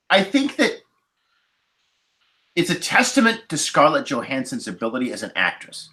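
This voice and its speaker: Guy on a film podcast, really animated, bright voice, explains his opinions emphatically. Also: fairly high pitch. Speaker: podcast panelist. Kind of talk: casual film discussion.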